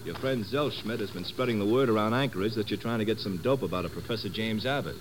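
An actor playing a folksy, plain-spoken bush pilot in an old radio drama, informal and breezy. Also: male voice, lowish voice, fast, close to an everyday voice. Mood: easygoing. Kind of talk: 1950s radio drama acting. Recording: very noisy old radio recording.

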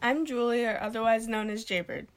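A woman's voice: a little high, brisk. A twelve-year-old girl co-hosting a casual home podcast, shy and giggly as she answers. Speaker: podcast co-host.

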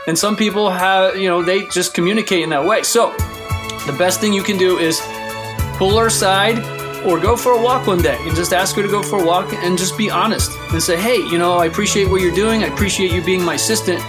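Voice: medium pitch, brisk. Earnest advice host over background music. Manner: earnest, encouraging, emphatic. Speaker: podcast host giving advice. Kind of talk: casual motivational advice talk.

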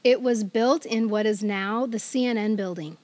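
A woman's voice: medium pitch, normal speed, a little mellow, dry recording. A middle-aged woman co-hosting a podcast in casual chat, playful and self-deprecating. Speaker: podcast co-host.

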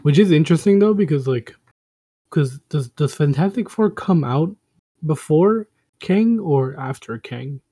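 A man's voice: on the high side, lively intonation, unhurried, mellow, slightly rough, dry recording. A young man co-hosting a casual podcast, talking bluntly in slang and stumbling over restarts. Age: young adult. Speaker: podcast co-host. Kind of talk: casual chat among friends.

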